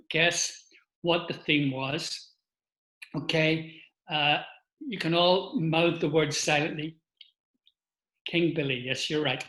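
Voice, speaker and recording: A man's voice: a little high, a bit bright, echoey room, poor audio. An older man from Northern Ireland with a Northern Irish accent, giving an informal talk with a slideshow, chatty, with restarts and asides to his listeners.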